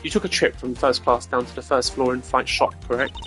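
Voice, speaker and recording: A man acts out a stern video game prosecutor of medium pitch. He questions in precise, formal sentences with a dry, cutting edge. Game music plays clearly behind him. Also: fairly even intonation, a little fast, close to an everyday voice. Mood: pressing.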